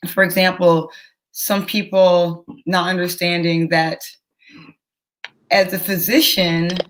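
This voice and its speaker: A woman's voice: lowish voice, level tone, slight background noise, poor audio. A young woman physician giving careful, educational answers as an interview guest. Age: young adult. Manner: measured, educational, careful explaining.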